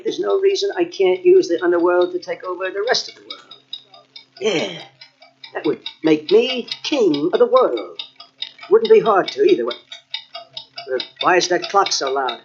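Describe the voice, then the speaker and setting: Grandiose gangster, bossy, volatile, fairly high voice, tough-guy slang, dull vintage radio recording. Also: slight background noise. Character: menacing, grandiose gangster escaped from execution, growing frantic. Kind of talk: old-time radio crime acting. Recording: muffled old radio cassette transfer.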